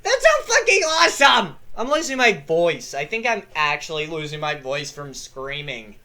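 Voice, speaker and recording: A young man hosting a lore video, reading his script in a casual, excitable way, swearing freely and breaking into yells. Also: on the high side, normal speed.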